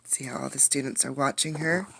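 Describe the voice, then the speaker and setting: A woman reading a picture book aloud as a teacher, expressively, pausing to put questions to young listeners. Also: very deep voice, a little hiss or hum, poor audio. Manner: expressive storytelling, addressing young listeners directly. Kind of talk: interactive children's read-aloud with questions.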